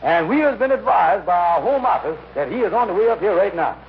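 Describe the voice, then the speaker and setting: A man's voice: high voice, lively intonation, fairly quick. An actor playing a self-important, conniving bluffer in an old-time radio comedy, repeating himself and mangling his grammar. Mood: officious bluffing. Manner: blustering, repetitive, mangled grammar. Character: blustering, conniving male lodge schemer, self-important bluffer. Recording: muffled, hissy old radio recording.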